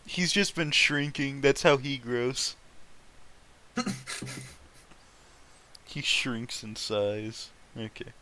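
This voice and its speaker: A man's voice: mid-range voice, lively intonation, extremely slow, long pauses, bright, forceful tone, slight background noise. A man casually reading fan fiction aloud and mocking it with sarcastic, sweary, amused commentary.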